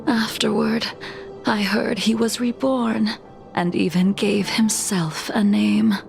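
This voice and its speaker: A woman voicing a cold, stoic immortal swordswoman in a video game, measured and grave with a breathy edge to the voice. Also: medium pitch, fairly even intonation, faint music behind. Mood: bitter, grave. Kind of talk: dramatic game dialogue.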